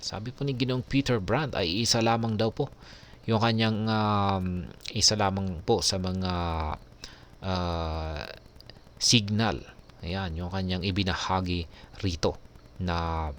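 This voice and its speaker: Deep-voiced Filipino man explaining news. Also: fairly bright tone, slight background noise. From Philippines. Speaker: crypto news vlogger. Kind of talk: news explainer, alternating read-out article and commentary.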